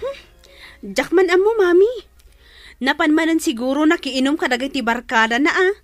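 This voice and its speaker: An actress playing a curious young daughter in a radio drama, recorded in a dry studio. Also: female voice, sits high, lively intonation, normal speed, no echo, faint music behind.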